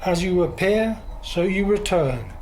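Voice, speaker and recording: An actor playing a resolute, self-sacrificing young hero in a radio drama, with a solemn, defiant delivery. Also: male voice, sits high, expressive, measured pace, a little mellow, slight background noise, low-quality recording.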